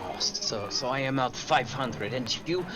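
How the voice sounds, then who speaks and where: A man's voice: medium pitch, a little slow, music in the background, lightly acted. An actor playing a sly, genial, mercenary male informant in an old-time style radio drama. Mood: sly, bargaining.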